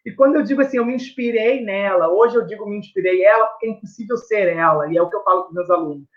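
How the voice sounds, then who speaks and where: A man's voice: sits high, quick pace, highs cut off. A man with a Brazilian accent, a teacher explaining his research in conversation, reflective and emphatic, often adding tag questions.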